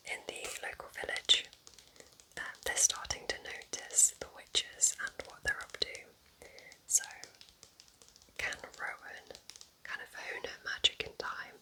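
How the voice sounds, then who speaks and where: A woman whispering gently and unhurriedly in a breathy, chatty ASMR style as she recommends books. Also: low-quality recording. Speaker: ASMR video creator playing a kind witch.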